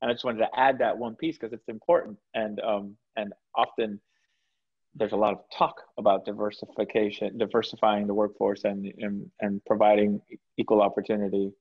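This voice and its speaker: A man's voice: fairly low pitch, flat delivery, a little fast, breathy edge. Emphatic man on a muffled video call. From South Florida, USA. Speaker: podcast co-host. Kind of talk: casual video-call chat. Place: home room, indoors.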